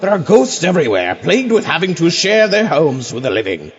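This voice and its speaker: A man's voice: a little high, wildly swooping pitch, fairly quick, a little hiss or hum. A man playing a smug, chatty, prissy ghost in a comedy audio drama, on a dull recording. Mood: smug, scheming. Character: smug chatty male ghost starting a business. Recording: dull lo-fi, music underneath.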